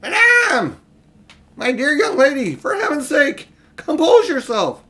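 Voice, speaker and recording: Shrill, wailing cowardly old uncle. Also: male voice, wildly swooping pitch, unhurried, bright, forceful tone, exaggerated voice. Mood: panicked pleading. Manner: trembling, wailing, high screaming. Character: cowardly, whining old uncle, panicky would-be magician.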